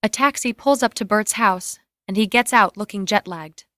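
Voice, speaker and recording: Female reader for screenplay directions, medium pitch, scripted delivery, dry acoustics. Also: moderate pace, pushed and bright, no echo. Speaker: narrator reading screen directions. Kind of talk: scripted narration of stage and camera directions.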